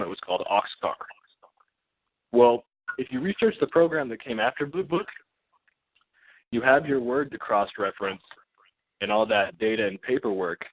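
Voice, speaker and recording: A man with a fairly low voice calls in and talks in a casual, unscripted monologue. He is insistent and slangy, circling back to repeat points over a narrow, muffled phone line. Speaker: caller. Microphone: telephone.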